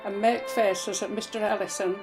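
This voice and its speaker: A woman's voice: medium pitch, moderate pace. An older woman, fondly telling childhood anecdotes as a former mill worker. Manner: fond, lively anecdote telling. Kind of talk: interview reminiscence. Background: violin music.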